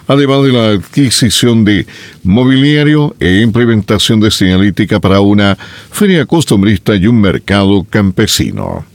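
A man's voice: lowish voice, no echo. Chilean man reading local radio news. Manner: chatty, folksy asides between news items.

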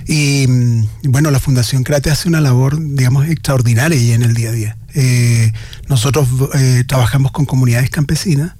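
A man's voice: mid-range voice, fairly even intonation, moderate pace, mellow, no echo. A Chilean man speaking as a guest agronomist on a radio interview, hesitant and full of fillers. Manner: hesitant, with many "eh" and "digamos" fillers and restarts.

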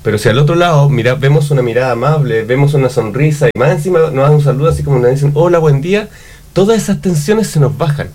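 A man's voice: on the high side, fast, a little mellow. Chilean man hosting a reflective chat. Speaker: talk show host. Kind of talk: casual reflective discussion.

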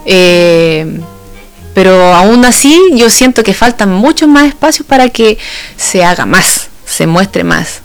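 Woman, Chilean accent, smooth resonant voice, interview guest, casual talk, faint music underneath. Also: mid-range voice, lively intonation, slow pace. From Santa Cruz, Chile. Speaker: guest, folk ballet dancer.

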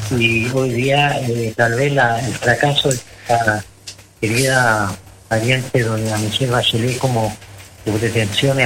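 A man's voice: medium pitch, noisy background. Rambling opinionated Chilean man on radio. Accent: Chilean Spanish. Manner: rambling, opinionated, many restarts. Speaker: radio show co-host. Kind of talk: casual political talk on radio.

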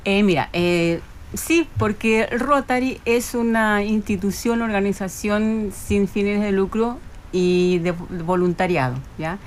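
Mid-pitched Chilean woman, conversational. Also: slow. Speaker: Rotary club guest. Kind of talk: conversational radio interview. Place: radio studio. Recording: faint background noise.

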